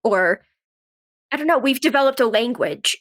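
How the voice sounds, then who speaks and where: Female podcast guest, slightly high voice, informal and reflective, conversational delivery. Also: lively intonation, normal speed. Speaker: podcast guest, writer and actress. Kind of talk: casual interview conversation.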